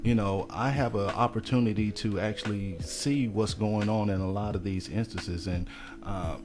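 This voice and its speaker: A man's voice: lowish voice, steady tone, normal speed, over music. A man speaking as a guest on a radio interview. He is a mentor and counselor, and he earnestly urges parents to support their kids.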